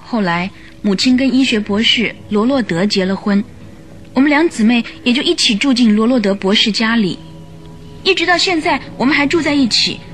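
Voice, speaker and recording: Radio drama heroine: young, troubled gentlewoman, higher register, courteous and timid, faint music behind. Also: animated, normal speed. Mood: sorrowful recounting. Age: young adult. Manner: polite, hesitant. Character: frightened, polite, sorrowful young gentlewoman seeking help. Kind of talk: Chinese radio drama acting.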